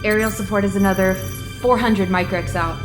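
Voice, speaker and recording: A woman's voice: mid-range voice, fairly even intonation, moderate pace, natural voice. A woman as a tense young fleet officer over music. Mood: tense, focused. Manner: urgent, businesslike briefing. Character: young female fleet science officer, tense but methodical. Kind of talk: sci-fi audio drama acting. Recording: clear music bed behind.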